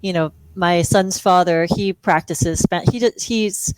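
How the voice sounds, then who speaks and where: Lower-voiced woman from Hawaii. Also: measured pace, smooth, dry recording, rough recording. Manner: chatty, self-deprecating, laughs often. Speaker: speech-language pathologist guest. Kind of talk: conversational interview.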